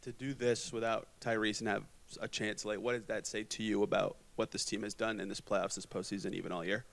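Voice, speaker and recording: Male reporter, lowish, gravelly, direct press question. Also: level tone, fairly quick, a bit bright.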